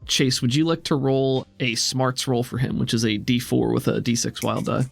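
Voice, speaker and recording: A man's voice: medium pitch, steady tone, normal speed, vocal fry, faint music behind. A man narrating a tabletop roleplaying game as game master, conversational and descriptive.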